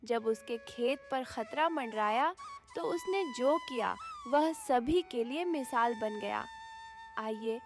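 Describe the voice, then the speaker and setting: A woman narrates a cartoon moral story in a high voice. She takes a slow, storytelling pace, with faint music underneath.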